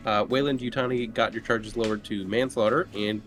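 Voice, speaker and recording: A man's voice: on the low side, steady tone, fairly quick, a bit bright, soft background music. A man who plays in a tabletop roleplaying game, reading out character notes and adding casual explanations. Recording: home microphone with faint background noise.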